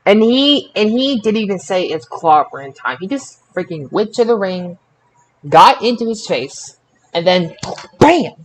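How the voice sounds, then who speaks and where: A man's voice: high voice, big pitch swings, a little slow, poor audio. A man hosting a casual wrestling results discussion, stumbling over names and repeating himself. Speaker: podcast host. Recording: clear background noise.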